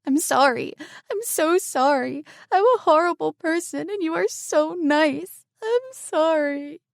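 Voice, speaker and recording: Woman doing a tearful young woman, crying, high trembling voice, dry sound. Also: lively intonation, measured pace, gravelly, darkish tone, no echo, exaggerated voice. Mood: sobbing apology. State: crying, choked up.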